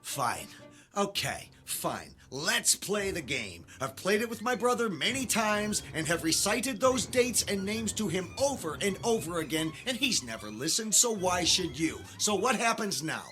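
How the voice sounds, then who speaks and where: A man's voice: high voice, sing-song, measured pace, pushed and bright, faint music behind, full character voice. An actor playing a skeptical, defiant man held captive, speaking with sarcastic indignation. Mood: exasperated. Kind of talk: dramatic audio acting.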